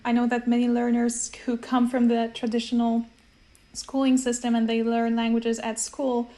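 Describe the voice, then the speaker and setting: Woman, slightly high voice, host, casual chat, animated, frequent laughter. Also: normal speed. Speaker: language teacher host. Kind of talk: casual conversation.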